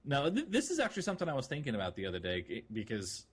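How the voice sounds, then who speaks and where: A man co-hosting a gaming podcast in casual conversation, explaining things in a rambling, halting way. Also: medium pitch, wildly swooping pitch, slightly rough. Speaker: podcast co-host. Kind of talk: casual chat about a video game.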